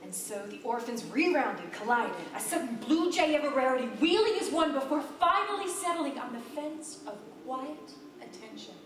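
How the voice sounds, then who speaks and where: A woman's voice: high voice, lively intonation, moderate pace, hardly any pauses. A woman performing a literary narration as one of the readers in a live staged reading. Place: theater stage, recorded live. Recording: roomy, distant live theater recording, noisy.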